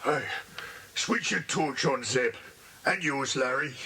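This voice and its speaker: An actor playing a bossy, menacing crook who leads a gang and barks questions in a children's audiobook drama. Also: male voice, medium pitch, slow, bright, forceful tone. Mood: menacing, demanding. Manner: barking, bullying questions. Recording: noisy old cassette transfer with clicks.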